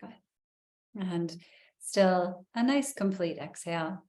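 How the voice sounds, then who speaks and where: A woman leading a guided breathing meditation in a soft, soothing way, with long pauses. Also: on the low side, reverberant.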